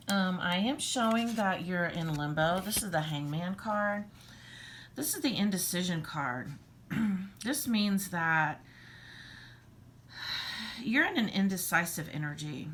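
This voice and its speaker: A hoarse woman, losing her voice, giving a casual tarot reading in an earnest, rambling, reassuring way. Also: sits low, a bit bright, slight background noise. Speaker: tarot reader.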